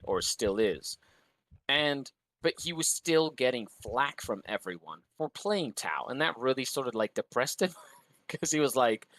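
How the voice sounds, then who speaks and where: A man's voice: mid-range voice, a bit bright, dry recording. A man chats about tabletop gaming as a podcast co-host, leaning on fillers as he talks. His voice is recorded dry and close on a studio mic, with no room sound. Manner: chatty, many fillers like "you know" and "like". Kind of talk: casual gaming discussion.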